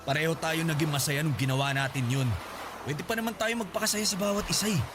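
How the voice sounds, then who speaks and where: A man playing a fast-talking evasive boyfriend. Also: on the high side, over music, natural voice. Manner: evasive, dismissive. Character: young boyfriend refusing to take responsibility. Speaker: voice actor. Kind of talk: radio drama acting.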